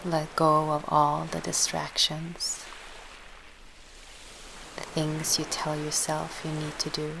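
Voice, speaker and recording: A woman leading a guided relaxation meditation in a soft, soothing, breathy manner with long pauses, as a yoga guide. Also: low-pitched. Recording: steady ocean-wave noise behind voice. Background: sound of sea waves.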